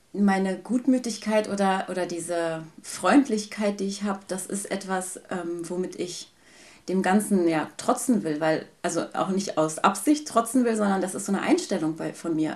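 Woman interview guest, mid pitch, thoughtful, hesitant, pauses often. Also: a little mellow. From Germany. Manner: thoughtful, hesitant, many fillers. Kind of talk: personal conversation.

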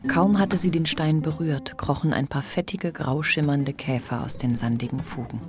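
A woman narrating a literary radio play with measured, deliberate phrasing. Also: low voice, over loud music, close to an everyday voice.

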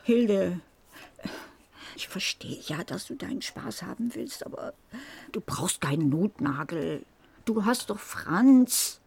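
A woman playing a bitter, fearful middle-aged mother in a radio drama, grave and pleading, with a husky voice. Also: medium pitch, animated, slow, darkish tone, full character voice.

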